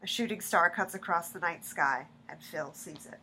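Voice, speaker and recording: A woman's voice: lowish voice, normal speed, bright, forceful tone, a little hiss or hum. A woman reading scripted stage directions as the narrator of a staged play reading.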